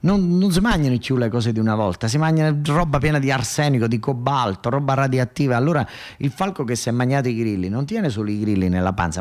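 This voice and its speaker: Deep-voiced southern Italian male storyteller. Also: a little mellow. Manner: conversational, repeats phrases for emphasis, halting restarts. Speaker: storyteller, monologue performer. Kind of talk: colloquial theatrical monologue storytelling for radio.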